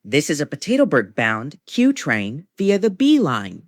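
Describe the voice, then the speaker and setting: Low-pitched woman making train announcements. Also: huge pitch swings, dry recording, studio quality. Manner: formulaic, measured delivery of short set phrases. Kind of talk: scripted automated transit announcements.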